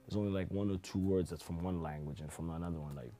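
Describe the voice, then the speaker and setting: Deep-voiced laid-back young man. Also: warm tone. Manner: slow, laid-back slang. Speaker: guest. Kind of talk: casual interview chat. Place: small room with curtains.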